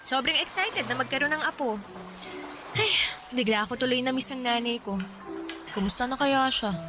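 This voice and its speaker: A woman's voice: sits high, expressive, normal speed. A woman acting a candid, friendly working woman in a Filipino radio drama, talking in a chatty way. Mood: friendly, wistful. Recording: background music under the voice.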